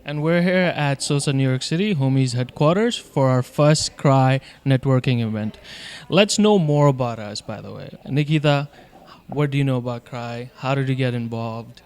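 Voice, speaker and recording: A man's voice: mid-range voice, moderate pace, darkish tone, clean tone. A man co-hosting an event segment as a volunteer, talking in a friendly, rambling way with many fillers. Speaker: volunteer co-host.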